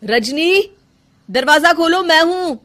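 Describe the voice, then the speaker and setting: Young cartoon husband, female performer: loving, patient, emphatic questioner, highly expressive delivery. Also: slow, full character voice. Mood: pressing, upset. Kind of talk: animated moral story cartoon voice.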